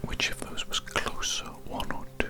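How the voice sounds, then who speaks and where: A performer in an ASMR medical roleplay as a doctor, speaking in a soft unvoiced whisper with formal, repetitive clinical phrasing. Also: normal speed. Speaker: ASMR performer playing a doctor giving a hearing test.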